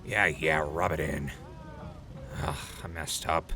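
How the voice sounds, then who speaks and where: A man acting in an audio drama as a world-weary, sarcastic wasteland radio host, gruff and casual. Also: extremely low pitch, fairly even intonation, slow, a crowd in the background. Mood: guilty, irritated.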